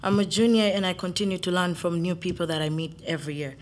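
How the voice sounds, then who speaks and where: A woman's voice: fairly low pitch, fast, slight background noise. A young woman from Uganda, a college junior, giving an earnest, slightly hesitant prepared speech. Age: young adult. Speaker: student speaker.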